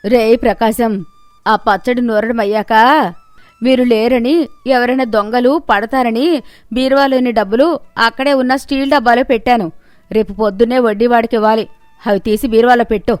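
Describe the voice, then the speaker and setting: A woman's voice: fairly high pitch, fairly even intonation, normal speed, darkish tone, dry recording, soft background music, natural voice. A woman voicing a crafty old mother-in-law in a story, commanding and scolding. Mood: sly, instructing.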